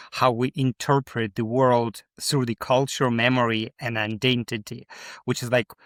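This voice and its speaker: A man with a Ukrainian accent speaks as an interview guest in a mid-range voice. He is reflective and halting, searching for his words, and the sound is dry with no room echo. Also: medium pitch, fairly bright tone. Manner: halting, searching for words in non-native English. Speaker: podcast guest, novelist and cultural theorist.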